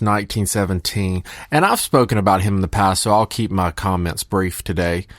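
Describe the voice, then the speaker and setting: A man's voice: fairly low pitch, dry recording, clean studio sound. A man hosting a podcast, giving casual commentary on old radio shows. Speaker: podcast host.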